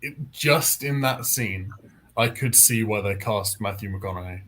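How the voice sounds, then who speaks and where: A man's voice: fairly low pitch, a little slow, fairly bright tone, slight background noise. A man hosting a book readalong, talking casually about novels in a group discussion. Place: indoors, online group call.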